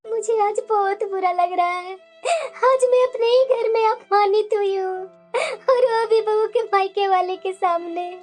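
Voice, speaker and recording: A very high-pitched elderly mother-in-law played by a woman, meek and long-suffering. She speaks plaintively, as a wounded figure in a family drama. Music plays faintly behind her. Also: fast talker, few pauses, mellow. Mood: tearful, humiliated. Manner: plaintive, self-pitying. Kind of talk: Hindi family drama story dialogue.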